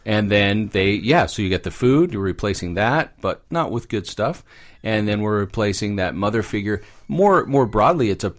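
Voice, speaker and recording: A man's voice: lowish voice, expressive, normal speed. A man speaking as a guest expert, explaining fairy tales in a conversational way with little acted asides. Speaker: guest expert, psychology professor.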